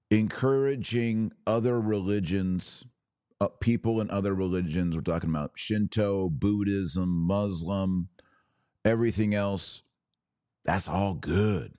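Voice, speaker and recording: A man hosting a podcast, giving religious commentary in a deliberate way with pauses and emphatic phrasing. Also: fairly low pitch, mellow, smooth, no echo, clean studio sound. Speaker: podcast host.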